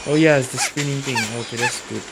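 A woman with a very low voice comments casually on a video game she is playing. She thinks aloud and reacts in short exclamations, with prominent game music behind her. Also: huge pitch swings, brisk, very noisy. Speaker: gaming streamer.

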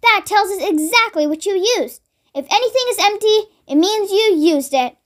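A child voicing an excitable, impatient farmer father in a storybook, exclaiming and bossy. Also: very high-pitched, normal speed, voice pushed up. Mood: eager.

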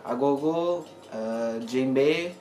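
A man's voice: mid-range voice, extremely slow, mellow. Young Brazilian male, echoey room. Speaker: exchange participant. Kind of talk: recap narration. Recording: echoey room with faint music behind.

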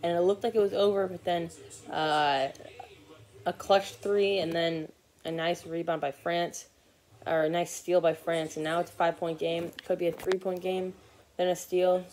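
A man's voice: high-pitched, level tone, normal speed, darkish tone. A teenage boy giving casual live commentary on a basketball game from his couch, rambling with many fillers and restarts. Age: teens. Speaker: sports vlogger. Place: living room couch, indoors. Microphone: phone or laptop camera from below.

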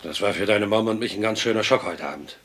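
A man's voice: fairly low pitch, quick pace, fairly bright tone. An actor playing a joking, big-hearted working-class husband and father in a dubbed sitcom, with playful delivery. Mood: earnest. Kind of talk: German dubbed sitcom acting.

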